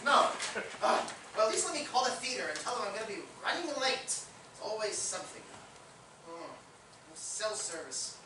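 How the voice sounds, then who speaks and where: A man playing an evasive, flattering singing teacher in a comic stage reading, halting as he talks. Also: sits high, distant mic. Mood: nervous, evasive. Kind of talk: comedic stage acting. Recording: echoey, noisy stage recording from afar.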